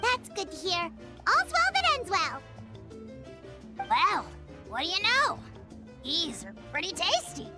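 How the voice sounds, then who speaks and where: A woman voicing a small, cheeky, excitable childlike companion creature in a video game, with a brash, exclamatory way of talking. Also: very high-pitched, takes it slowly, long pauses, over music, exaggerated voice. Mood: cheerful, pleased.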